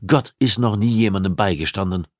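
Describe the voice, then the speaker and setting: A male narrator plays a cold male criminal profiler in an audiobook. He speaks with commanding, deliberate insistence at a raised pitch. The sound is dry and free of room. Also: darkish tone, no echo, close to an everyday voice. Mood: grave, insistent. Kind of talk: audiobook dialogue acting.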